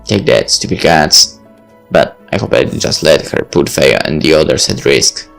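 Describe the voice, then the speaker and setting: A man's voice: a bass voice, lively intonation, moderate pace, creaky, soft background music, exaggerated voice. A man voicing a self-important, bossy, geeky young heroine who talks pompously in a story. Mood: grudging.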